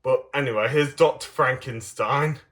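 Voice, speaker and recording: A man giving a casual, enthusiastic show-and-tell as a hobbyist, rambling and halting in mid-sentence. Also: mid-range voice, fairly bright tone. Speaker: hobbyist presenter of custom toy figures.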